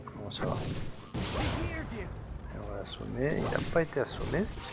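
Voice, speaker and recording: A man's voice: on the high side, huge pitch swings, a little slow, gravelly, over loud music. A man hosting a let's play, giving casual, rambling commentary full of hesitations and restarts as he plays.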